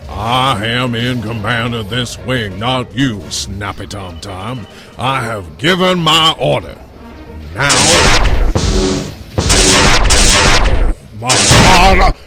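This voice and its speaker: A man's voice: mid-range voice, animated, takes it slowly. A man as a stern wing commander, music behind. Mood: angry authority. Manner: authoritative, clipped. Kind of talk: sci-fi audio drama acting.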